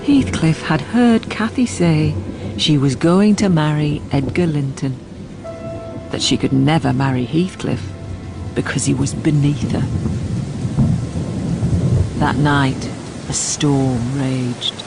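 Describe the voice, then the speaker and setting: A woman's voice: deep voice, slow pace, creaky, long pauses, over music, heavily acted. An actress playing a sensible, level-headed woman servant who narrates a gothic radio drama in a sober manner. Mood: grave, sober. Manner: sober, reasoning. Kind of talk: measured dramatic storytelling for radio.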